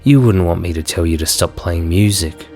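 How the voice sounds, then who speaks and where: A man's voice: deep voice, moderate pace, warm tone, close to an everyday voice. A man playing an easygoing, protective adventurer in a fantasy audio drama, colloquial, teasing and reassuring. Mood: earnest, reassuring. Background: music.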